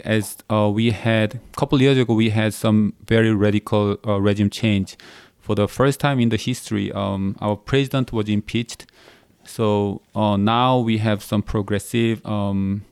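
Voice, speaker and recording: Smooth low Korean-accented man, hesitant. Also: a little mellow, no room sound, clean studio sound. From South Korea. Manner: hesitant, searching for words in English. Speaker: podcast guest. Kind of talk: halting, thoughtful conversational account.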